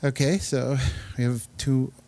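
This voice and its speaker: A man hosting a casual talk show, speaking in a halting but enthusiastic, rambling way. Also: mid-range voice, fairly even intonation, warm tone. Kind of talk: casual rambling round-table chat.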